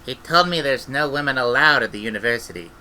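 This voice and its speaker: A man's voice: mid-range voice, lively intonation, fast talker, bright, forceful tone, a little hiss or hum. A man voicing an earnest, anxious young girl, a meek and questioning apprentice witch in a storybook reading. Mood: worried.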